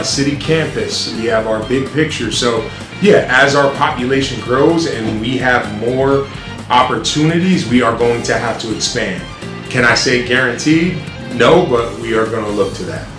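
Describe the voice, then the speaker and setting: Middle-aged male principal, loud and earnest, mid-pitched, roomy recording. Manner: loud, earnest, restarts with many ums. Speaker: high school principal, interview guest. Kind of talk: casual interview answers. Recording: roomy sound with music behind.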